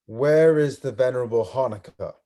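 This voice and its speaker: A man's voice: medium pitch, normal speed, mellow, natural voice. A man playing a stern young ronin in a dramatic reading, speaking in a formal, commanding manner. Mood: stern, suspicious. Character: stern young male ronin guarding monastery nuns.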